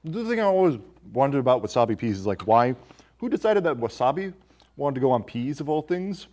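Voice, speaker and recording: Man hosting with animated, swinging pitch. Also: fairly quick. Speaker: podcast host. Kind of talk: casual film discussion.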